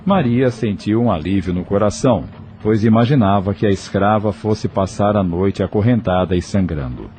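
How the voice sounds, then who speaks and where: A male narrator reads an audio novel from a script. His voice is fairly low and mellow, set over audible background ambience. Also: moderate pace, a little hiss or hum.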